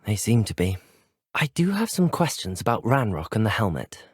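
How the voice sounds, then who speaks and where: Husky, well-spoken young British wizard student. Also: male voice, fairly low pitch, very animated, normal speed, no echo, clean studio sound. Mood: curious, purposeful. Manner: well-spoken, courteous, formal phrasing. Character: polite, earnest, brave teenage male wizard student. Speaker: video game voice actor. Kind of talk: scripted game dialogue.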